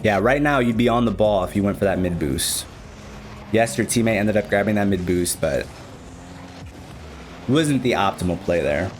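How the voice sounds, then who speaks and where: A man's voice: fairly low pitch, expressive, moderate pace, long pauses, a little hiss or hum. A young man with a Chicago accent, casually explaining game replays as a streamer and coach, speaking directly to the player. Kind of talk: live-streamed replay analysis commentary.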